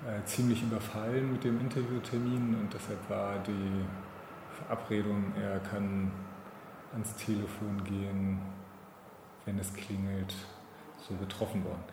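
A man interviewing someone casually, halting and often rephrasing himself. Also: fairly low pitch, little pitch movement. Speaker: podcast interviewer. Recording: echoey, noisy room with ambient sound.

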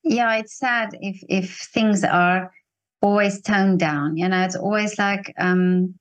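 A woman in her sixties with a South African accent, talking reflectively and haltingly as she searches for her phrasing. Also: mid-range voice, steady tone, highs cut off. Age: 60s. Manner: hesitant, searching phrasing with repeats. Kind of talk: reflective casual conversation.

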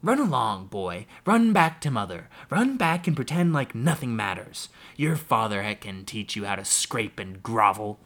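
A man's voice: medium pitch, animated, normal speed. A man voicing a bitter, drunken uncle who rants and snarls at his family. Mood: sneering. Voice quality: loud, snarling, rising to shouts. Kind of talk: audiobook character voice.

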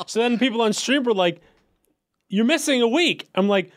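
A man co-hosting a casual podcast, an animated storyteller who acts out quotes and laughs a lot. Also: very high-pitched, normal speed. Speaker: podcast co-host. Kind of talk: casual chat.

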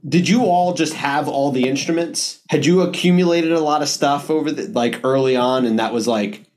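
A man's voice: a little high, moderate pace, a little mellow. A man hosting a casual interview, often breaking off and restarting his sentences. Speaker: podcast host.

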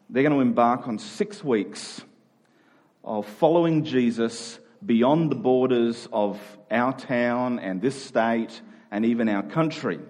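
An Australian man speaking as a pastor in church, with measured, deliberate phrasing as he preaches, reads scripture and leads the congregation. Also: medium pitch, a little mellow. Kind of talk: sermon with scripture reading and prayer.

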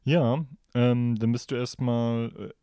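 A man's voice: mid-range voice, fairly even intonation, slow pace, mellow, smooth, no room sound. A German man hosting a casual interview, asking questions hesitantly with many fillers and tag questions.

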